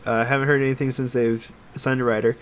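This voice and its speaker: A man co-hosting a casual podcast chat about games and films, talking in a halting, meandering way that trails off. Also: medium pitch, level tone. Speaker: podcast co-host.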